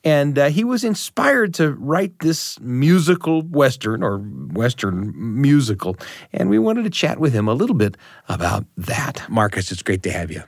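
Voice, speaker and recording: A man's voice: medium pitch, very animated, a little slow, vocal fry, no echo. A man hosting a radio show from a studio with an upbeat manner, laughing easily as he chats. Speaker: host.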